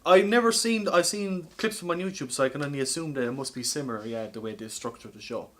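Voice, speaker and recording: A man hosting a casual comedy podcast, talking in an animated, teasing way with some swearing. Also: mid-range voice, fast talker. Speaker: podcast host.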